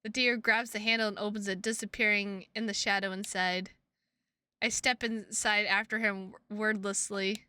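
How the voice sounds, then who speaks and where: A woman's voice: medium pitch, flat delivery, normal speed, sharp tone, clean tone. A woman streaming a visual novel, reading the text aloud and chatting to viewers with playful, goofy asides, on a close microphone. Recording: music behind the voice.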